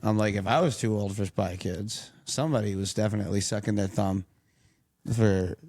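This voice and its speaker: A man in his late twenties, a guest in a casual chat, quick-witted and teasing, talking through the situations step by step. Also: on the low side, a little mellow. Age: 20s.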